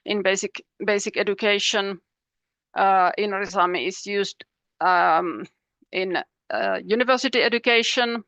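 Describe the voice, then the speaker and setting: A woman's voice: medium pitch, a bit bright, no echo. Woman giving an academic talk. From Finland. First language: Finnish. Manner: measured and explanatory, with frequent pauses and restarts. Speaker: professor, panelist. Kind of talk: academic presentation. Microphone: video call.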